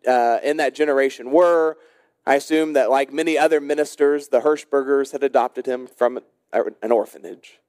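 A man's voice: a little high, darkish tone, dry recording. A man preaching a sermon as a pastor, telling stories and explaining scripture with emphatic, dramatic phrasing. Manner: emphatic storyteller, varies between brisk narration and slow dramatic phrasing. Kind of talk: sermon with storytelling and scripture exposition.

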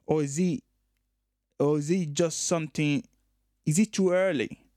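A man's voice: fairly high pitch, steady tone, unhurried, dark tone, no room sound, studio quality. A man from Nigeria, hosting a podcast conversation and reasoning aloud, often restarting and repeating phrases as he works through an idea. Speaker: podcast host and author.

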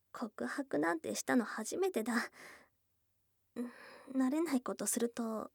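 Woman as a breathy, slow-talking goblin girl. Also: high-pitched, animated, no echo, clean studio sound, full character voice. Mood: bashful, flustered. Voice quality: breathy, soft, often whispering. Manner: blunt tomboyish speech, tender and hesitant. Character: shy, tomboyish young goblin girl confessing love. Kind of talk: intimate ASMR situation voice drama. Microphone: close, dry studio microphone.

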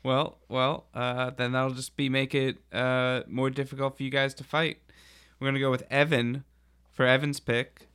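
Podcast host guy, pretty flat delivery, smooth clear voice, keeps it short and casual. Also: medium pitch, moderate pace. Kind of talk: casual chat.

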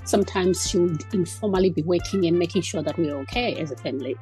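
A woman's voice: on the low side, quick pace, warm tone, soft background music. A woman in her fifties from Zimbabwe, a guest talking through her background with frequent ums and restarts. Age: 50s. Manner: hesitant, many ums and restarts. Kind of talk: casual interview conversation.

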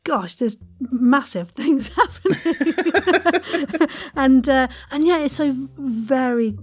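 A woman's voice: sits high, lively intonation, slow. A woman hosting a casual interview, effusive and enthusiastic as she responds to her guest. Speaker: podcast host, interviewer.

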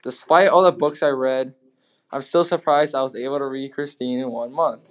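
A teenage boy reads his class reflection in a plain, unhurried way. His voice sits at a medium pitch. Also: steady tone. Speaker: student.

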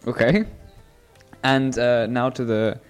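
A man's voice: medium pitch, flat delivery, slow pace, clear, resonant tone. A teenage boy hosts a school podcast, asking casual questions that are full of uhs and restarts. Faint music plays behind his voice. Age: teens. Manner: friendly, filler-heavy questioning. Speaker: student podcast host. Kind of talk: casual interview hosting.

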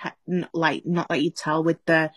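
A woman's voice: low voice, level tone, normal speed, a bit bright, husky, no room sound, clean studio sound. Chatty Yorkshire woman with a Scouse tinge. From Serbia. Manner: chatty, jokey, self-mocking. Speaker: podcast co-host. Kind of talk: casual chat.

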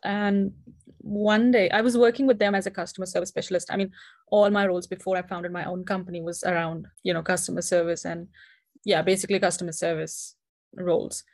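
A woman's voice: lowish voice, flat delivery, fairly quick. A young woman from India in her twenties, a company founder, talking earnestly as an interview guest and often restarting her words. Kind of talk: casual interview conversation.

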